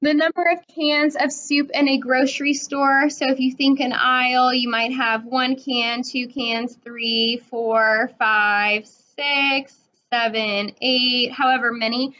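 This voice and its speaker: A woman's voice: high voice, flat delivery, takes it slowly, sharp tone. A woman in her twenties teaching a math lesson, explaining step by step and checking in with a frequent "right?". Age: 20s. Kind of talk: recorded classroom lesson. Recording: dull lo-fi recording.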